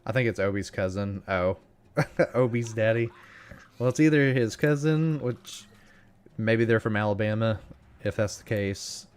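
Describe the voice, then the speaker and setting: A man's voice: on the low side, measured pace, clean tone. A man in his twenties chatting casually while streaming a mobile game, joking and laughing often. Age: 20s. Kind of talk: casual gaming livestream chat.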